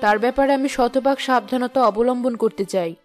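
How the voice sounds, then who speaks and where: A woman playing an anxious, superstitious, insistent young queen in an animated fairy tale, speaking formally. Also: on the high side, a little fast, faint music behind, close to an everyday voice.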